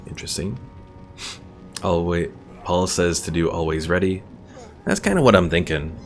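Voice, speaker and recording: Male streamer, low creaky voice, casual thinking-aloud commentary on a game, music underneath. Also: lively intonation, moderate pace.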